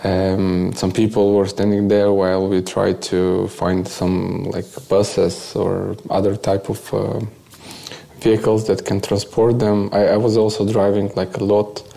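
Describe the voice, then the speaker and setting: A man from Bulgaria with a Bulgarian accent, giving a conversational, halting first-person account as an interview guest. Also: low-pitched, monotone, vocal fry, mellow.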